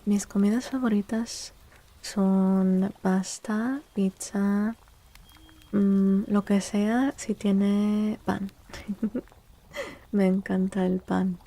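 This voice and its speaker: Soft spoken asmr streamer lady, mellow n slow, breathy, drifts into whispers, close mic. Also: mid-range voice, level tone. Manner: gentle, unhurried, often repeats phrases. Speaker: ASMR live streamer, host. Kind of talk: soft-spoken ASMR chat with live viewers. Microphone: close microphone.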